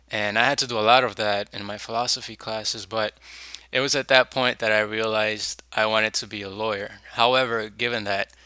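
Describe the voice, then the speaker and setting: A young man, a law student, introducing himself on a podcast in an earnest, measured and articulate way. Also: lowish voice, sharp tone, smooth, dry recording.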